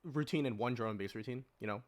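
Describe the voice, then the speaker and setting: A man's voice: medium pitch, quick pace, a little hiss or hum. Man making his case in casual debate. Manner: argues at length with rhetorical questions, frequent filler "like". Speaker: podcast panelist.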